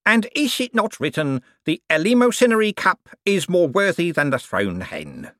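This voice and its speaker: A man's voice: high voice, sing-song, normal speed, fairly bright tone, no echo, studio quality, pitched above the performer's own voice. A man voicing an oily elderly con man posing as a reverend, speaking in a pious, unctuous way.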